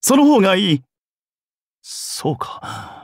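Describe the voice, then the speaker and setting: An actor playing a cocky young genius schemer in a game, with a wry, theatrical manner. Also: male voice, sits high, very animated, takes it slowly, no room sound, studio quality, full character voice. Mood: bemused. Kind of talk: game character acting.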